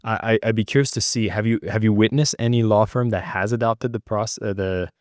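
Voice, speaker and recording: Deep voiced guy hosting a podcast, asks slow careful questions, kinda stumbles a bit. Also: fairly even intonation, no room sound, studio quality. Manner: measured, slightly halting questions. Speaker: podcast host. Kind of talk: interview questions.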